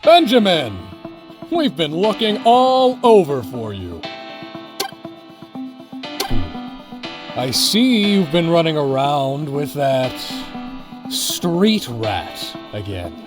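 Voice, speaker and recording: A man playing a domineering retired rap star father in an animated game cutscene, mocking and theatrical as he draws out his words. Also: on the high side, music in the background. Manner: mocking, theatrical, drawn-out delivery.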